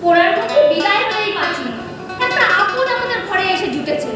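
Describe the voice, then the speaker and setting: A resentful, scolding aunt, played by a woman, with a very high voice and a sarcastic, complaining edge. It is stage drama heard from afar in a very echoey hall. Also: lively intonation, quick pace, far from the mic, loud music behind the voice. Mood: bitter complaint. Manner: complaining, sarcastic, sharp-tongued. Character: resentful, scolding aunt burdened by orphaned niece. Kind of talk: Bengali stage audio drama acting.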